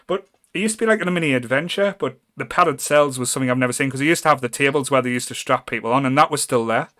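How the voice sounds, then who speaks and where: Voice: mid-range voice, rapid-fire, a bit bright. Casual North East English podcast host. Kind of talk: casual chat.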